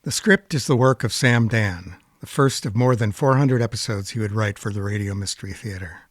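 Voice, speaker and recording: A man presents a scripted introduction. His voice sits somewhat low, and the recording is dry, with no room around it. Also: dry recording. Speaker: presenter of archive radio episodes.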